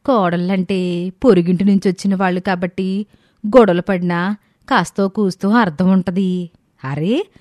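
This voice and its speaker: A woman acts out a concerned older village neighbour in a moral tale. She advises and consoles gently in a fairly low voice, with a very dry recorded sound. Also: dry recording, close to an everyday voice.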